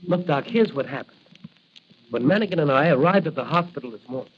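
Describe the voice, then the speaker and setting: An actor playing an earnest, confident young rookie policeman in a 1940s radio drama, brisk and explanatory. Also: a little high, expressive, husky. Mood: earnest, urgent. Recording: muffled, noisy old radio recording.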